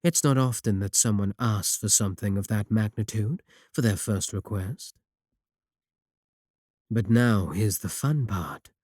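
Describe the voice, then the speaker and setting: Man playing a slow, deep, velvety-dark manipulative warlock. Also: no echo, studio quality. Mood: flirtatious, coaxing. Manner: unhurried, polite, coaxing, flattering. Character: smooth, courteous, manipulative male warlock shopkeeper, flattering and flirtatious. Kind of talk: second-person roleplay audio acting.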